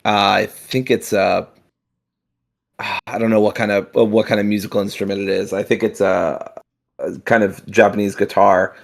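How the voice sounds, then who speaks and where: Gravelly low-voiced man chatting casually. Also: little pitch movement, moderate pace. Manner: rambling, frequent fillers and restarts, swears casually. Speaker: podcast co-host. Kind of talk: casual chat.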